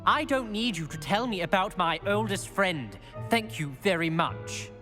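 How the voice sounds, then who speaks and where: A cold, guarded schoolboy wizard. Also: male voice, high-pitched, lively intonation, unhurried, bright, forceful tone, faint music behind, exaggerated voice. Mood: curt. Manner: clipped, suspicious, threatening.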